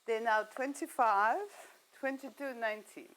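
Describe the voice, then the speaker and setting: A woman's voice: a little high, steady tone, moderate pace, a bit bright, a little hiss or hum, rough recording. A middle-aged woman from Russia, a guest talking through each step as she cooks on a TV show and laughing easily. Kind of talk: cooking demonstration chat.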